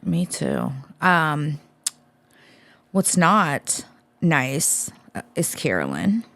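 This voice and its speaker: A woman with a low-set voice co-hosts a casual podcast, talking bluntly and cursing freely as she tells animated stories. The sound is dry and close, with no room around it. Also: very slow, dry recording. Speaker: podcast co-host. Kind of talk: casual chat.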